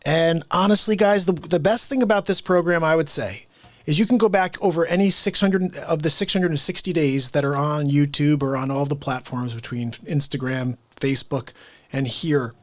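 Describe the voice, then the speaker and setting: A man's voice: a little high, moderate pace, dry recording. A man hosting a livestream, chatting upbeat with his viewers and greeting chat members by name. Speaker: livestream host.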